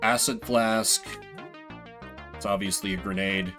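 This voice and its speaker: A man's voice: on the low side, steady tone, unhurried. A man doing live game commentary in a playful, sweary way, reading bits of text aloud and chatting with viewers. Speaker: game streamer. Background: video game music.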